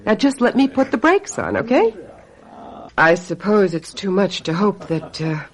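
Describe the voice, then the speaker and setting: A brooding, sharp-tongued woman in a radio mystery drama. She is blunt and insistent, a protective, controlling sister with a psychic's knowing air. Her pitch sits in the middle range. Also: animated, measured pace, soft background music. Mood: insistent, wry.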